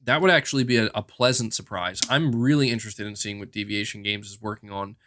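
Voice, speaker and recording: A man's voice: on the low side, fast, a bit bright, a little hiss or hum. A man hosting a gaming podcast, talking casually and emphatically and repeating words to drive his points home. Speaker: podcast host. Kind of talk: casual gaming commentary, answering chat comments.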